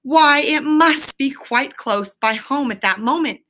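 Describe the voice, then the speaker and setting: Bright-voiced woman teacher reading aloud. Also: sits high, unhurried, slight background noise. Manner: questioning, explanatory, emphatic. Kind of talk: reading a storybook aloud and explaining it to pupils.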